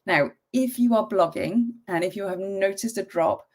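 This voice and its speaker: A woman hosting a casual live-stream tutorial, croaky from a cold and talking in a chatty way with frequent ums and the odd cough. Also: mid-range voice, normal speed, darkish tone.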